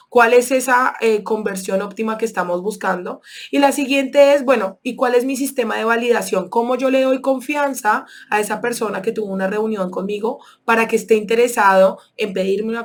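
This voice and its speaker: Colombian woman teaching a sales lesson. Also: medium pitch. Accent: Colombian Spanish. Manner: explanatory, walks through examples step by step with many fillers. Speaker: sales instructor. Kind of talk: online course lesson.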